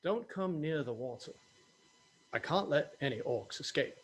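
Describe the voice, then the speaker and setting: A man's voice: a little high, animated, measured pace. A man playing a brash, roguish pirate captain in a table read of a fantasy comedy. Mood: firm. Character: gruff roguish orc-hating pirate captain, male.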